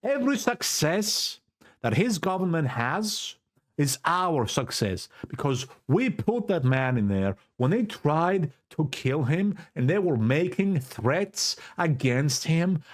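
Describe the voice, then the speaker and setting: Greek cypriot guy ranting to his camera, slow and dramatic, voice all over the place, says stuff twice. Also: on the high side, big pitch swings. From Cyprus. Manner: fervent and preachy, repeats words for emphasis. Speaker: vlogger. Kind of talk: impassioned political monologue to camera. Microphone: self-recorded camera setup.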